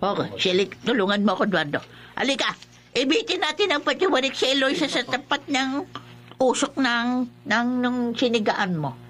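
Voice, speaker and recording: A woman's voice: on the high side, lively intonation, moderate pace, a bit bright. A woman playing an old village healer in a horror radio drama, dramatic and exclaiming, solemn, fearful yet determined. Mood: commanding, solemn. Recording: music under the voice.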